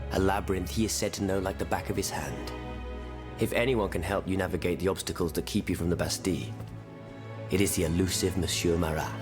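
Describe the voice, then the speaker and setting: Man acting a low, grave revolutionary. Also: natural voice. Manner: measured, formal, oratorical. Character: grave, solemn French revolutionary leader, discreet and persuasive. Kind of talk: video game cutscene acting. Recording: game music behind.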